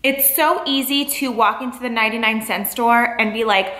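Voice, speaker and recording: A woman's voice: fairly high pitch, normal speed, pushed and bright, reverberant. A young woman chatting casually in a vlog, bubbly and rambling, with lots of "like" and "um". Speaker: lifestyle vlogger. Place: store aisles, then a home living room.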